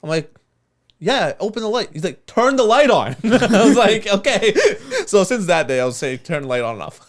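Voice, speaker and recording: A man whose first language is Urdu, co-hosting a podcast with animated, teasing banter and a lot of swearing. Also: sits high, moderate pace. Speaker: podcast co-host and editor. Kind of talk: casual banter.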